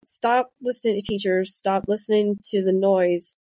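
A woman's voice: mid-range voice, steady tone, normal speed, mellow, no room sound. A woman in her thirties, a podcast host and author, chatting casually and telling stories with quoted asides. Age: 30s. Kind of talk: casual conversation.

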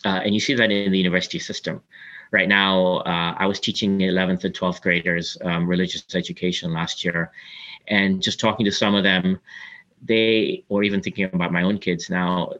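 A man in his forties from Kenya answers interview questions in a chatty, reflective way, often pausing on fillers. His voice sits very low yet comes across forceful and bright. The recording is slightly dull and lo-fi. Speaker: interview guest.

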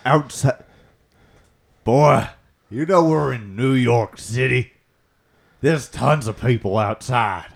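A man's voice: medium pitch, takes it slowly, vocal fry, pauses often. A man putting on a folksy, pushy Louisiana bayou man with a drawling accent and an aggrieved streak, in improvised comedy. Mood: indignant, insistent. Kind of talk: improvised comic character interview.

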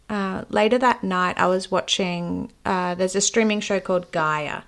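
Dream teacher, woman, earnest and reflective, slightly low voice with vocal fry. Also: a bit bright. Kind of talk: personal storytelling to camera.

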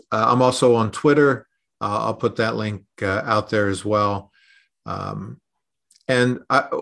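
A man talking conversationally on a podcast as a consultant being interviewed, explaining things in a measured way. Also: fairly low pitch, fairly bright tone.

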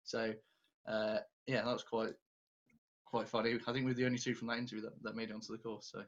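A man's voice: fairly low pitch, level tone, fast. Young man, slightly muffled recording. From England, born in Denmark. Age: 20s. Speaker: interview guest, business graduate. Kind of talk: casual chat.

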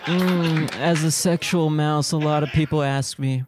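A man voicing a lewd female mouse comedian, telling raunchy jokes and squeaking between punchlines. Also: fairly even intonation, measured pace, natural voice. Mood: cheeky. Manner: raunchy insult-comic delivery with squeaks. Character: lewd female mouse comic roasting a plumber. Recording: noisy recording.

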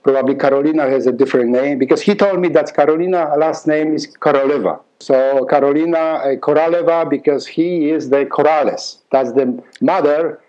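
Man, polish accent, middle-aged, mellow voice, slightly high, halting and repetitive. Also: dull audio. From Poland. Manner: halting, repeats words, acts out dialogue. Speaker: conductor and music teacher. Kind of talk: storytelling interview.

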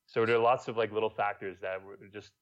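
A man's voice: fairly low pitch, fairly even intonation, fast talker, muffled recording. Laid-back Montreal man, French accent. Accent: Quebec French. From Montreal, Canada. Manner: laid-back storytelling, swears casually. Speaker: guest, YouTuber. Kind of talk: casual podcast chat.

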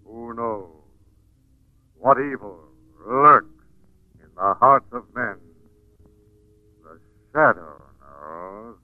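An actor playing a sinister, mocking invisible avenger in a 1940s radio drama. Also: male voice, medium pitch, animated, very slow, pauses often, no echo. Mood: menacing, mocking. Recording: muffled old radio broadcast recording.

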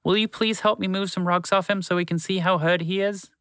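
A man's voice: high voice, steady tone, fairly quick, a bit bright, clean tone, dry recording, pitched above the performer's own voice. A man voicing a small, earnest male mouse who pleads and talks a lot. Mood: pleading. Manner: pleading, talkative.

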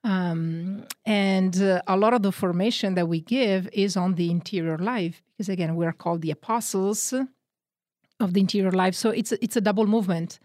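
A woman from northern Italy with an Italian accent, a religious sister talking as a radio guest, relaxed with fillers and light jokes. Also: mid-range voice, normal speed, dry recording. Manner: warm joking, fillers like um and uh. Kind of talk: radio interview. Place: radio studio.

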